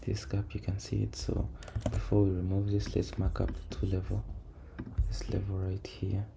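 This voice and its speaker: A man with a low, creaky voice gives casual, hesitant, low-energy commentary as he works through a trading screen, repeating words as he thinks aloud. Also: completely flat delivery, normal speed. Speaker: trader recording a backtesting session. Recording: noisy room, keyboard and typing sounds.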